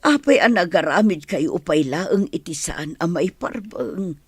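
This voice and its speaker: A sorrowful older village mother in a radio drama. Her voice is husky and plaintive, swinging widely in pitch with feeling, and recorded close and dry. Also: expressive, measured pace, no echo, exaggerated voice. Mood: grieving. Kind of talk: Ilocano radio drama acting.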